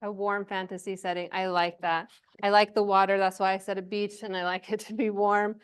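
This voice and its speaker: A woman's voice: medium pitch, flat delivery, a little fast, smooth. A woman giving an informal workshop presentation to a live group, chatty and encouraging, often checking in with questions.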